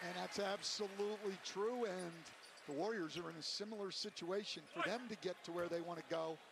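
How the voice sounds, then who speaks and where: A man's voice: sits high, fairly quick. A man giving basketball analysis as a colour commentator on a broadcast, with noisy ambience around him. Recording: clearly noisy arena ambience.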